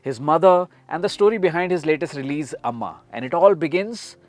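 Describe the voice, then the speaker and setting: A man's voice: on the high side, expressive, brisk, a little mellow, dry recording. A man with an Indian accent, working as a radio host, introducing and conducting an interview. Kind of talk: scripted show introduction and interviewing.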